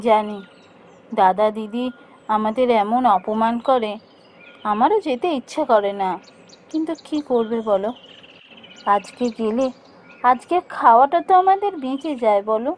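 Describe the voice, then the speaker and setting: Woman playing a high-voiced, sensible village wife. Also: animated, measured pace, long pauses, a little mellow. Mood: coaxing. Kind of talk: cartoon voice acting.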